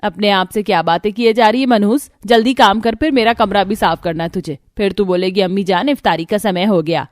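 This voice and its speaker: A woman's voice: medium pitch, fairly quick, few pauses, no echo, studio quality, lightly acted. A woman voicing a scheming, spiteful mother-in-law in a Hindi cartoon story, scolding and domineering. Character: scheming, spiteful mother-in-law who bullies her daughter-in-law.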